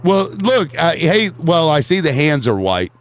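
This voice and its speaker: Halting male panel host. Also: on the high side, big pitch swings. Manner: halting, agreeable, many restarts. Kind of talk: casual panel chat.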